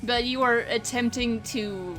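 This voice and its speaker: A woman's voice: a little high, steady tone, unhurried, fairly bright tone, soft background music. A woman casually improvising story narration as a player in a tabletop roleplaying game. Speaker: player and scene director.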